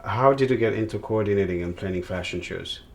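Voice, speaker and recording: A man's voice: on the low side, fairly quick, creaky, a little hiss or hum. A man hosting a television interview program, presenting and asking questions in formal, wordy phrasing. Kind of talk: TV interview hosting and promo announcements.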